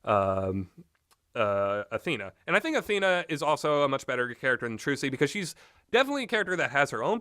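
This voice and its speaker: Bright-voiced man rambling through a casual review. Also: mid-range voice, smooth, dry recording, studio quality. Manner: rambling, often breaks into amused laughter. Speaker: video game reviewer.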